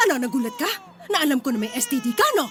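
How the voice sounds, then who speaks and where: Wronged young mother, radio drama: high, animated, taunting, fierce. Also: very fast, slight background noise. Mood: furious, anguished. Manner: taunting, accusatory. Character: wronged young wife and mother, fiery, sarcastic, confrontational.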